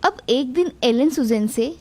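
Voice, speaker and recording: High-voiced woman narrating a story. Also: lively intonation, a little slow.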